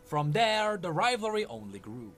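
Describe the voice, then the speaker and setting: A man's voice: high-pitched, very animated, normal speed, a bit bright, faint music behind. A man delivering scripted lore narration in an explanatory, storytelling way. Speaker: video essay narrator.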